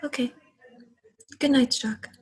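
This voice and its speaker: A woman's voice: high voice, fairly even intonation, measured pace, breathy edge. A young woman playing a plaintive, questioning girl, an older sister, in a radio play. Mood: subdued. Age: young adult.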